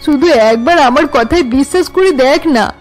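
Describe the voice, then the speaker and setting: A woman puts on an anxious older cartoon mother. The voice is very high, urgent and pleading, set over light music. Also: moderate pace, natural voice. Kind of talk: cartoon drama dialogue.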